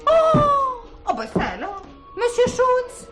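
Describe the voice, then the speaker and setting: A woman's voice: very high voice, slow, over music, full character voice. An actress playing a cheerful, teasing, chatty woman in a French radio drama. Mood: chatty, sociable. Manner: teasing, animated. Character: cheerful, chatty divorced woman, a saloon regular.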